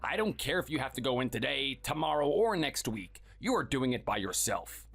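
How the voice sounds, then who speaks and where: A performer voicing a cold, contemptuous workaholic husband who talks curtly and dismissively. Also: male voice, medium pitch, big pitch swings, normal speed, gravelly, fairly bright tone, husky, exaggerated voice. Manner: curt, scornful, dismissive.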